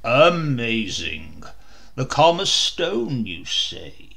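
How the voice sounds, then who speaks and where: A man's voice: very animated, extremely slow, vocal fry, pushed and bright, breathy edge, heavily acted. A man reading a comic storybook and voicing a jovial, mocking knight prince who teases a lying bird. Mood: teasing. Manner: booming, teasing.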